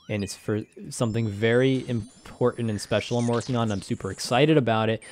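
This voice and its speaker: A young man, slightly hoarse, rambling through casual game commentary with self-deprecating asides and frequent laughs. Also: fairly low pitch. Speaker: gaming commentator.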